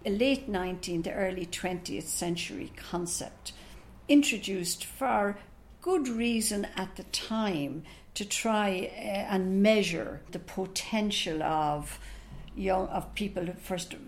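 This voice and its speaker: An older woman with an Irish accent whose first language is Irish, answering interview questions in a thoughtful, unhurried, explanatory way as a retired professor. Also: lowish voice. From Ireland.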